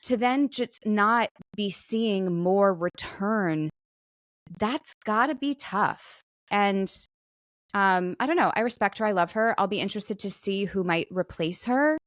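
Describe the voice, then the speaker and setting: A woman's voice: medium pitch, unhurried, dry recording, studio quality. A woman co-hosting a talk podcast, chatting casually and jokingly about the news. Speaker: podcast co-host.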